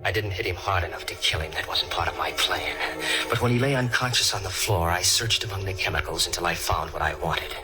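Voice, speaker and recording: Male actor as menacing killer, deep-toned, greedy, cold, vintage radio drama, prominent music backing. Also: fairly quick, natural voice, poor audio. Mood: grim narration. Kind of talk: 1940s radio acting, dramatic first-person narration.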